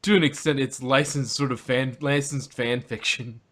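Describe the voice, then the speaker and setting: A man with a medium-pitched voice chats casually as a podcast host. He rambles and thinks aloud as he explains, circling back over his phrases. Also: steady tone, moderate pace, a bit bright. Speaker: podcast host reviewing audio dramas. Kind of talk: casual group discussion.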